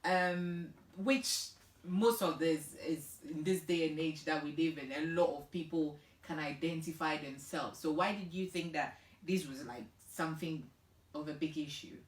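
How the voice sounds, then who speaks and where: A woman's voice: low-pitched, a little slow. A woman interviewing a guest in a chatty, playful way, laughing easily. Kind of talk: conversational interview. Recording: echoey room, distant from mic.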